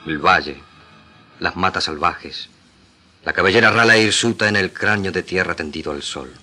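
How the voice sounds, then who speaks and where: Low, forceful Argentine man reading dramatically. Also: normal speed, faint music behind. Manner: solemn, declamatory. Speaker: radio actor reading literature. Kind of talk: dramatic literary reading for radio.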